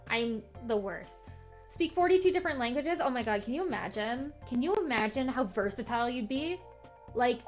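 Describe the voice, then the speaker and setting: A young woman, chatting in a rambling, filler-heavy, self-deprecating way while playing a game. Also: a little high. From Canada. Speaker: gaming video host. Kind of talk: casual chat while playing a farming game. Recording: slightly muffled with faint game music.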